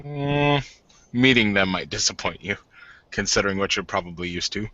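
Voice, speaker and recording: A man's voice: lowish voice, expressive, moderate pace, sharp tone, lo-fi sound, slight background noise, pitched below the performer's own voice. A man playing a hesitant, awkward, reassuring new husband in a roleplaying game. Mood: hesitant, wary.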